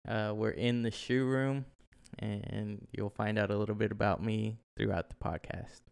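A man's voice: lowish voice, steady tone, moderate pace, gravelly, clean tone. A man in his twenties from Oklahoma, co-hosting a casual podcast with lots of ums and easy laughs. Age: 20s. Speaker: podcast co-host. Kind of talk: casual chat. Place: shoe room at home.

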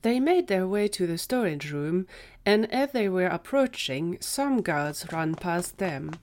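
Lower-pitched woman narrating a story. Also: sing-song, unhurried, darkish tone.

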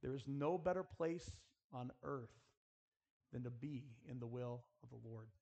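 A man's voice: medium pitch, a little slow, vocal fry, dark tone, lo-fi sound. Man preaching an earnest sermon. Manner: earnest, exhorting, direct address to listeners. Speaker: preacher at a school chapel. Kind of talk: sermon with rhetorical questions and prayer.

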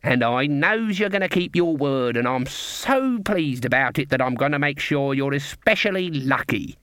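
A man's voice: fairly high pitch, very animated, a little slow, fairly bright tone, no room sound, studio quality, voice pushed up, exaggerated voice. A man doing a sly old witch woman. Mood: quietly menacing. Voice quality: sing-song, falsely innocent, folksy dialect. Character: shrewd old woman witch, sweetly menacing.

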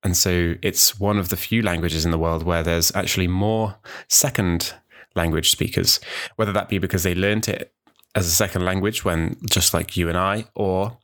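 A young man from New Zealand talks through his podcast introduction, meandering and thinking aloud with plenty of ums and restarts. His voice sits very low in pitch. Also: moderate pace. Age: young adult. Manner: relaxed and rambling, many ums and restarts. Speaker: podcast host, language student. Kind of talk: casual solo podcast monologue.

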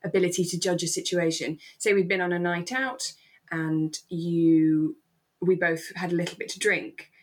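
A woman co-hosting a podcast, talking casually and reflectively as she explains her take on a radio drama. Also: on the low side. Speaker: podcast co-host.

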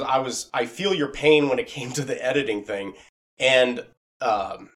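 A man hosting a podcast, talking casually and explaining things in an earnest, rambling way. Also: mid-range voice. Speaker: podcast host and audio drama maker. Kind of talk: casual conversation.

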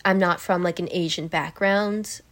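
A woman's voice: lowish voice, steady tone, moderate pace. A teenage girl being interviewed as a podcast guest, rambling with many fillers and telling stories animatedly. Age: teens. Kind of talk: casual chat.

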